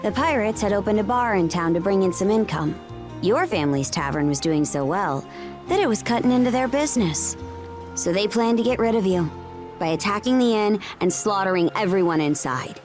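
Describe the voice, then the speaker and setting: A blunt warrior princess of seafaring raiders, acted by a woman, speaks with proud authority in a mid-range voice. Her delivery is matter-of-fact video game drama, with soft music behind it. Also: medium pitch, expressive, a little fast, close to an everyday voice. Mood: stern, explanatory. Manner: commanding, matter-of-fact storytelling.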